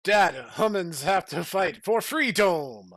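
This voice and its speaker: A man voicing overwrought action heroes and villains in a melodramatic, halting way for a comic story reading. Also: high voice, expressive, fairly bright tone, dry recording, studio quality, exaggerated voice. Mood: resolute. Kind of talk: mock-dramatic comic fan fiction reading.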